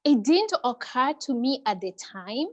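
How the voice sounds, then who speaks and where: A woman's voice: sits high, big pitch swings, a little slow. A middle-aged woman, a guest on a video call interview, talking conversationally with many "you know" fillers.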